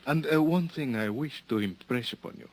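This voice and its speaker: A man's voice: medium pitch, lightly acted, poor audio. Male actor, Mexican-accented police officer, mid-range voice, stern, formal, stiffly polite, radio drama. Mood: warning. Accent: Mexican Spanish. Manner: formal, measured, stiffly courteous. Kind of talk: old time radio drama acting.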